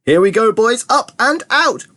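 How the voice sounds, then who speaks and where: A man's voice: medium pitch, moderate pace, fairly bright tone, no room sound, lightly acted. A man voicing an exuberant, eccentric chocolate factory owner in a gushing, theatrical storybook manner, bubbling with chuckles. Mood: gleeful.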